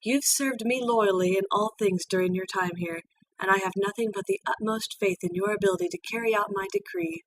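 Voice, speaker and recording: A gentle, regal pony ruler , played by a woman reading a story, speaks with calm formality. She sounds like a motherly mentor. The voice is slightly off the mic with faint noise behind. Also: medium pitch, brisk, lightly acted. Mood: gracious. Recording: distant mic with background noise.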